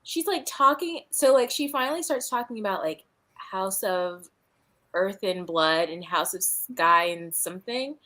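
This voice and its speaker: A woman hosting a casual book chat in an expressive, exasperated, sweary way. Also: medium pitch, a little slow. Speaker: host of a book reading livestream. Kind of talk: casual chat about books.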